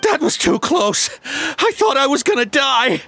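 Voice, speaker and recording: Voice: high-pitched, very animated, unhurried, creaky, pushed and bright, faint music behind, full character voice. An actor voicing a cowardly hired poacher in a video game, nervous and stammering. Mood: shaken. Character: cowardly, greedy hired poacher, blustering then panicky.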